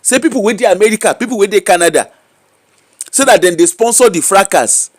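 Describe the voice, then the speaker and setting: Nigerian male commentator, high bouncy voice, teasing and rhetorical. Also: brisk, no echo, studio quality. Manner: animated, mocking, rhetorical questions. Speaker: social media commentator. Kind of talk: casual commentary in pidgin. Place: UK-based.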